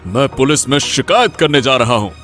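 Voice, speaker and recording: A man's voice: medium pitch, lively intonation, normal speed, fairly bright tone, faint music behind, close to an everyday voice. A man playing a principled old village father in a story, speaking earnestly and emphatically. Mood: indignant, defiant. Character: worried, principled old village father, indignant when threatened. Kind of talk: Hindi audio story dramatic acting.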